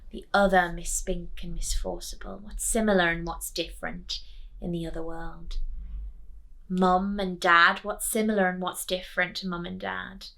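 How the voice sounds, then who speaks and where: A woman teacher reading a children's story aloud expressively, deliberately and with dramatic pauses. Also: fairly low pitch, fairly bright tone, rough recording.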